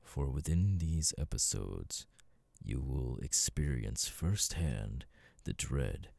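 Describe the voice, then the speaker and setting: A man performing an ominous horror narrator, reading in a grave, dramatic, drawn-out and florid way. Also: very deep voice, creaky, darkish tone, no room sound, pitched below the performer's own voice, full character voice.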